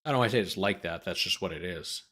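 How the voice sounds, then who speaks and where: A man's voice: sits low, fast, pushed and bright, breathy edge. A man hosting a legal commentary show, reading a document aloud and breaking off for sardonic off-the-cuff asides. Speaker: host, lawyer commentator.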